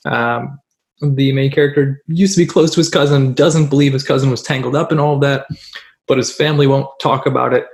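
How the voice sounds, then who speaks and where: A man's voice: mid-range voice, steady tone, brisk, darkish tone. A man from the Philippines, raised in the United States, speaking as an author guest in a panel conversation, thoughtful and full of fillers.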